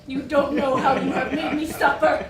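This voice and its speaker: A woman's voice: high voice, level tone, moderate pace, over a noisy crowd, natural voice. A woman playing the bitter, jealous, impulsive inner self of a society wife, blunt and unrestrained in a theatrical style. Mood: anguished, accusing. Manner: blunt, vehement, emotionally unrestrained. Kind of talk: theatrical stage acting. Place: indoor theatre stage, picked up from afar. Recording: roomy, distant stage recording with noise.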